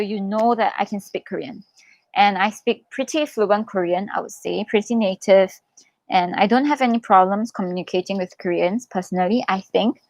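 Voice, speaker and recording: A woman's voice: mid-range voice, dry recording, poor audio. Young woman chatting through a live Q&A. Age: young adult. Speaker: livestream host, international student in Korea. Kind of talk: casual live Q&A, reading out viewer questions.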